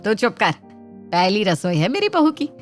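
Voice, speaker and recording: A woman's voice: medium pitch, big pitch swings, normal speed, natural voice. A woman voicing a patient but exasperated middle-aged mother-in-law in a children's story, with a motherly, coaxing manner. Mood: soothing. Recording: background music under the voice.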